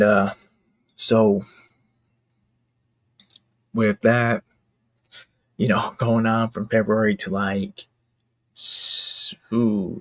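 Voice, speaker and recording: A man giving casual, unscripted commentary as a creator, with a husky, gravelly voice and a halting, rambling, self-correcting way of talking. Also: lowish voice, no room sound. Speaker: indie manga creator and narrator.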